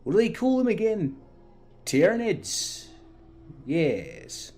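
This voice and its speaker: A man voicing a courteous, condescending alien diplomat who speaks in measured, veiled threats for an audio drama. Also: high voice, wildly swooping pitch, dark tone, voice pushed up, exaggerated voice. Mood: feigned forgetfulness. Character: polite, smugly menacing male alien diplomat, condescending.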